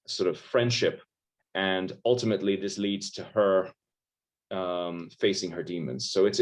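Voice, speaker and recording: A filmmaker from Ireland with an American-sounding accent, chatting casually in an interview over a video call, rambling with lots of restarts. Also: male voice, fairly low pitch. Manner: rambling, frequent restarts and fillers, self-deprecating.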